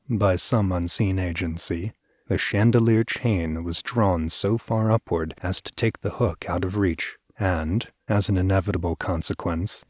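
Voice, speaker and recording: Deep-voiced man narrating a gothic tale. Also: darkish tone, dry recording, studio quality. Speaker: audiobook narrator. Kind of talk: scripted dramatic narration of gothic fiction.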